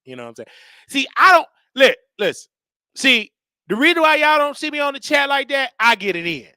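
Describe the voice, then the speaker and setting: Loud, animated man in his thirties. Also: extremely high pitch, a little fast, dry recording, clean studio sound. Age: 30s. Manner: loud, repetitive, emphatic rants with sudden shouts. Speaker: show host. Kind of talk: casual livestream talk.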